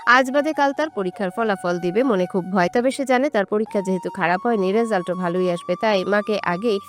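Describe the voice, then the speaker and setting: A woman's voice: mid-range voice, fairly quick. Woman narrating a romantic story over music.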